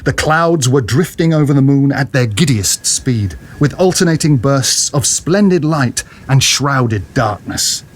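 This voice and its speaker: Lively-pitched man narrating an audio drama. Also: unhurried, few pauses.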